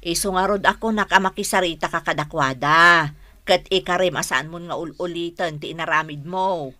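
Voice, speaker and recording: An actress playing an earnest village leader who admonishes neighbours in an Ilocano radio comedy-drama. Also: female voice, on the low side, moderate pace, sharp tone, no echo. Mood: earnest, conciliatory. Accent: Filipino, Ilocano.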